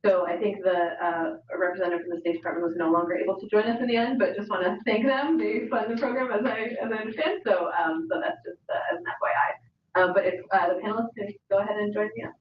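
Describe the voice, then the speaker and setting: A woman's voice: medium pitch, fairly even intonation, fast talker, a little hiss or hum. A woman moderating a panel at an event, hesitant with many fillers. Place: event room. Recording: echoey room from a distance, dull.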